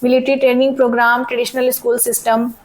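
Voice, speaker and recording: Woman teacher, slightly raised pitch, sore throat, slow deliberate question reading, friendly checking-in. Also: level tone, a little mellow, poor audio. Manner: frequent "theek hai" checks, reads questions slowly in English. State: sore throat, voice cracks at times. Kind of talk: exam-prep lesson mixing Hindi and English.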